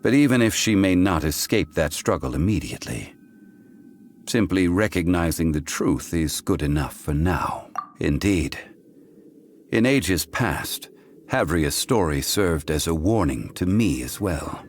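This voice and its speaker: An actor voicing a calm, wise ancient god who speaks with formal, measured storytelling in a game cutscene, with game music behind. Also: male voice, low voice, a little hiss or hum. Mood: solemn. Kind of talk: video game cutscene dialogue.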